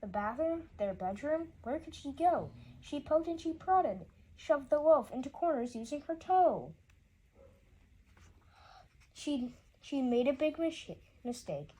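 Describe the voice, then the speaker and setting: A woman's voice: high voice, sing-song, measured pace, warm tone, low-quality recording. A woman reading a rhyming children's story aloud, often stumbling and repeating words as she goes.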